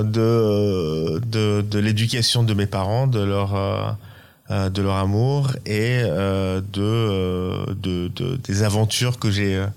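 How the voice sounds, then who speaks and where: A man's voice: on the low side, darkish tone, smooth. A man in his fifties, from Iran, answering interview questions hesitantly, searching for words and repeating them. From Iran, then the US, UK and France. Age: 50s. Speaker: podcast guest, watch auction executive.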